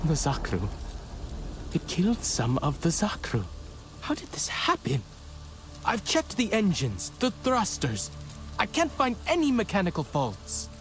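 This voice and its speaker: Anxious, high-voiced young guy. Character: anxious young man, rattled pilot and engineer. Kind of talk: video game voice acting.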